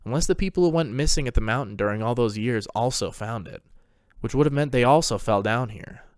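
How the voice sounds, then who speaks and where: A man's voice: medium pitch, brisk, no echo, clean studio sound. A man reading scripted story narration as the narrator of a fan fiction tale.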